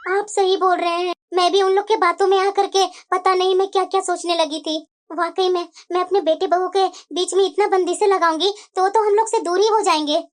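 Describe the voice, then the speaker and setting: A woman's voice: very high-pitched, steady tone, a little fast, hardly any pauses. A woman voicing a possessive, stubborn middle-aged mother-in-law in a family moral-story cartoon, whiny and argumentative. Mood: chastened.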